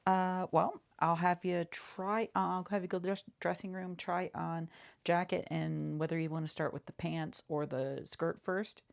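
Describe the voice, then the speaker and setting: A woman talks softly in a low register with a noticeable fry in her voice. She plays a seamstress in an ASMR roleplay, casual and halting, murmuring short reassurances and repeating them as she goes. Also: steady tone. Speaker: ASMR creator playing a seamstress. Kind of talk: soft-spoken ASMR roleplay.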